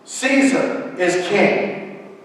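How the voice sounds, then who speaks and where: A man voicing an angry Jerusalem mob in a Bible story, shouting its demands like a chant. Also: high voice, animated, very slow, pitched above the performer's own voice, exaggerated voice. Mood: defiant. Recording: echoey large hall, distant mic, noisy.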